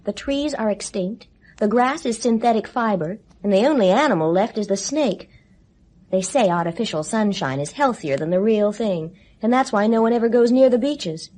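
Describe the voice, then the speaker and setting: A woman's voice: medium pitch, animated, moderate pace, darkish tone, natural voice. Actress playing a bitter, despairing woman. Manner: bitter, emotional. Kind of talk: 1970s radio drama acting. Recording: noisy old radio recording.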